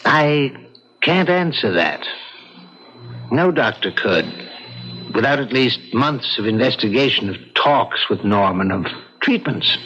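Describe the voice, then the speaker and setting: A man playing a grave, philosophical psychiatrist in a radio drama, husky and rhetorical. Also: medium pitch, expressive, pushed and bright, full character voice. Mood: grave, insistent. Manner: measured, rhetorical, theatrical. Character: grave, middle-aged male psychiatrist, philosophical and ominous. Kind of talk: 1970s radio drama acting. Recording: muffled old radio broadcast.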